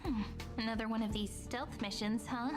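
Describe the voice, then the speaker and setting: A woman voicing a young, plucky, curious game heroine who muses aloud in an expressive manner. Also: a little high, moderate pace, music in the background, close to an everyday voice. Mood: wary, determined. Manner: expressive, musing aloud to herself.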